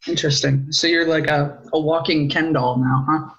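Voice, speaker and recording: A young man with a noticeably high voice, goofing around and telling a joke with a storyteller's pauses. He giggles at his own lines and keeps the banter light. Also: steady tone, a bit bright, lo-fi sound. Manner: playful, giggly, tells jokes. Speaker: podcast co-host. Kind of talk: joking casual banter.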